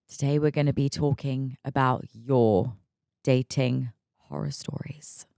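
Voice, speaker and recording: A raspy, hungover woman in her mid-twenties hosting her own podcast, chatting candidly, laughing often and repeating words as she talks. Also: a bass voice, fairly even intonation, slow pace, darkish tone, no echo, clean studio sound. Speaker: podcast host. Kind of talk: casual solo podcast chat.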